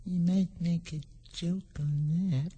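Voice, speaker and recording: Tiny breathy sad insect. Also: male voice, a little high, fairly even intonation, dark tone, faint music behind, pitched above the performer's own voice. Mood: timid, wistful. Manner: slow, timid, sighing. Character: extremely small, unhappy, gentle insect.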